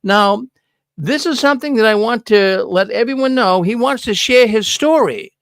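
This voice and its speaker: An older man with a Boston accent runs the show with folksy, chatty warmth toward his listeners. His voice sits high and carries a gravelly rasp. He's close on a studio mic in a dry, dead room. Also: moderate pace, no room sound. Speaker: host. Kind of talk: casual show hosting. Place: studio with neon signs. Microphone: broadcast mic on boom arm.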